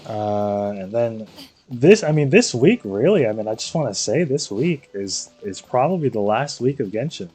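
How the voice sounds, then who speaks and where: A man's voice: medium pitch, very animated, normal speed, dark tone, husky, soft background music. A tired man chatting casually on a game livestream, rambling and slangy, repeating himself as he goes. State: tired after very long stream.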